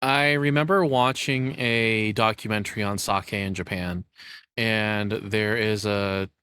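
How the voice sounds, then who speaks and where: A man's voice: fairly low pitch, fairly even intonation, measured pace, pushed and bright, clean tone, dry recording. A man giving casual, off-the-cuff reaction commentary as a host, talking in an informal, rambling way.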